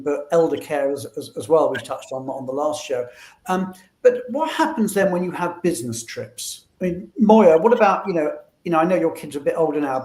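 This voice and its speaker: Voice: mid-range voice, darkish tone. A talkative host poses long questions that wander and restart. The voice carries noticeable room echo. It comes through a video call. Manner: chatty, rambling questions with restarts. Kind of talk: online panel interview.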